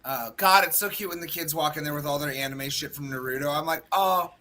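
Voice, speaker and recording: A man with a raspy, hoarse voice, chatting casually as podcast co-host and drag performer, self-mocking with quick asides. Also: fairly high pitch, bright, forceful tone, a little hiss or hum. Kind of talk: casual chat.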